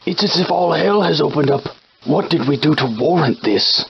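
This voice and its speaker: An actor playing a genteel, verbose shopkeeper with a villain's streak, in formal, archaic, theatrical game dialogue. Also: male voice, a little high, lively intonation, normal speed, vocal fry, highs cut off, slight background noise. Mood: alarmed. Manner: formal, archaic, florid wording. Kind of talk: theatrical period game acting.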